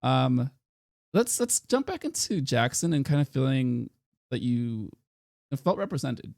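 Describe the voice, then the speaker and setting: A man hosting a casual podcast chat, relaxed and teasing, with many fillers. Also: medium pitch, unhurried, vocal fry, no room sound, clean studio sound. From United States. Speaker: podcast host.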